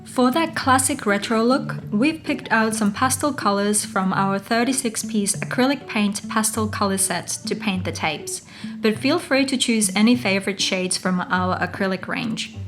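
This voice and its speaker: A woman's voice: mid-range voice, normal speed. A woman narrating a scripted craft tutorial as its host, giving step-by-step instructions. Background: music.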